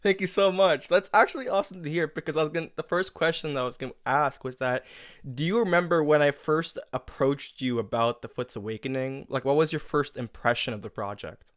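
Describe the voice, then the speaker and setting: A man hosting a casual interview in a chatty, somewhat halting manner. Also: fairly high pitch, a bit bright, dry recording, studio quality. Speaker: host and creator of an audio drama.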